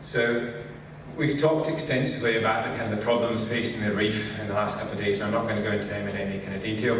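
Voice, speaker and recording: A middle-aged man from Scotland with a Scottish accent, giving a relaxed conference talk and saying "kind of" constantly. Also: on the low side, background noise. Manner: relaxed, filler-heavy, says "kind of" constantly. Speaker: conference speaker. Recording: echoey hall, distant mic.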